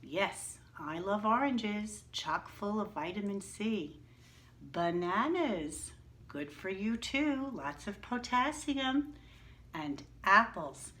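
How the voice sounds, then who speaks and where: A woman teaching young children in a slow, sing-song way, asking questions and answering them herself. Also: medium pitch, lively intonation, bright, forceful tone. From New York, United States. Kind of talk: read-aloud lesson for young children.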